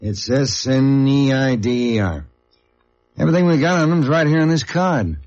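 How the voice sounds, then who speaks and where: A man's voice: medium pitch, lively intonation, moderate pace, darkish tone, soft background music, close to an everyday voice. A businesslike American union official, acted by a man in an old radio play. He is cooperative and plain in manner, with a mid-range voice. The sound is muffled like a dated recording. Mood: matter-of-fact. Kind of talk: 1950s radio drama acting.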